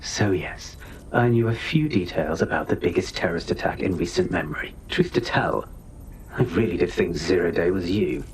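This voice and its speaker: A man's voice: deep voice, animated, moderate pace, vocal fry, slight background noise, rough recording. An actor playing an arrogant captured spy, lecturing his captors with contempt. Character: arrogant captured male spy, scornful and smug. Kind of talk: video game cutscene acting.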